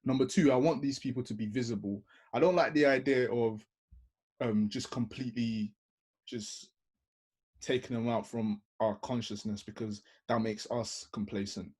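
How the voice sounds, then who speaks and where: Husky man making his points in order. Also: medium pitch, steady tone, darkish tone. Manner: measured, lays out points in order. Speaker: panel guest. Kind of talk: reasoned panel discussion. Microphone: video call.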